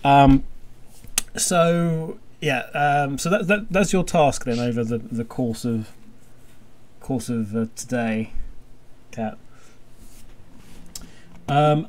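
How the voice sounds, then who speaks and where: A man's voice: medium pitch, a little mellow, slight background noise. A man hosting a casual commentary chat, speaking in a hesitant, rambling way with dry jokes. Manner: hesitant, rambling, dryly jokey. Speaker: podcast host.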